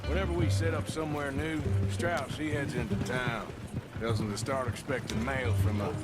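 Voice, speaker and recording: An actor playing a gruff, level-headed cowboy outlaw with a dry manner and a rural American West accent in a video game. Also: male voice, medium pitch, steady tone, normal speed, lightly acted. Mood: casual, dry. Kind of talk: dramatic video game acting. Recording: music under dialogue.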